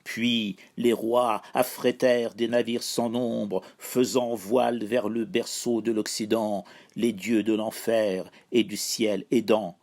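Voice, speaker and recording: A man solemnly reciting an epic poem in measured, declamatory phrases broken by pauses. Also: on the low side, little pitch movement, a little mellow. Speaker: poetry reciter.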